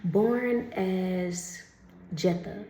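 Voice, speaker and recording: A woman doing a casual oracle card reading, chatty and laughing often, who calls the listener honey and reads the card text slowly and carefully. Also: lowish voice, dark tone, reverberant, a little hiss or hum.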